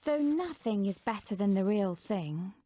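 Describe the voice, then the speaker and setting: A woman's voice: medium pitch, expressive, measured pace, dark tone. A woman voicing a sweet, dreamy, bookish young girl in a children's storybook, earnest and gushing.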